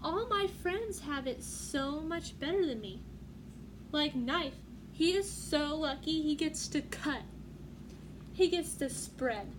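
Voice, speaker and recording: A woman's voice: high-pitched, lively intonation, slow pace. A woman voicing a sad, envious little boy spoon in a picture book, complaining wistfully. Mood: mopey. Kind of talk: children's picture book read-aloud. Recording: clearly noisy room background.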